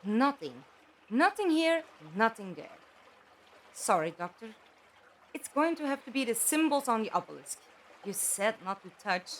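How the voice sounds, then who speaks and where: A woman's voice: a little high, fairly bright tone, slightly rough, heavily acted. A woman playing a curious lone explorer in an audio drama, puzzling aloud to herself.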